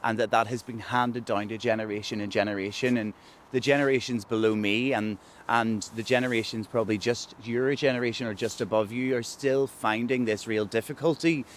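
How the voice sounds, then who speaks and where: A man's voice: mid-range voice, fairly even intonation, a little fast, slight background noise. A man with a Northern Irish accent, speaking reflectively and candidly in a documentary interview. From Northern Ireland.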